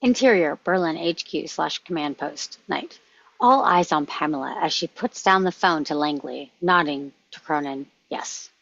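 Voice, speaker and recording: A woman's voice: low-pitched, normal speed, gravelly, a bit bright, dry recording, lo-fi sound. A woman reading stage directions as scripted narration at a screenplay table read.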